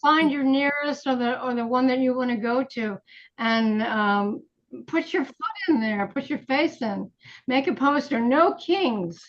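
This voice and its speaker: A woman talking casually in a group conversation, heard through dull, low-fidelity audio. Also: measured pace.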